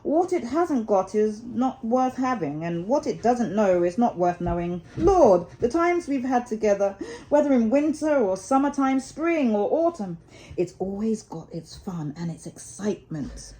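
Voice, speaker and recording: A woman's voice: a little high, very animated, a little slow, mellow, rough recording. A woman voicing a worldly, good-natured male water rat from a storybook, chatty and assured in an old-fashioned, chummy way. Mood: fond, enthusiastic.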